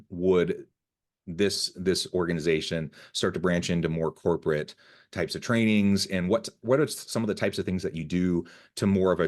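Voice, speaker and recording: A man hosting an interview podcast, enthusiastic and upbeat as he talks with his guest over a remote call. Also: low voice. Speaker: podcast host. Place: home, south of Salt Lake City.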